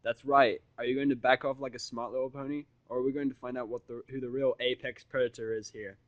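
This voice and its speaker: Defiant human protagonist voiced by a man, mid-pitched, dry close sound, brash sarcasm, confrontational fan audio drama. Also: flat delivery, quick pace, no echo, highs cut off, lightly acted. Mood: threatening. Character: defiant foul-mouthed human man, bluffing and scolding.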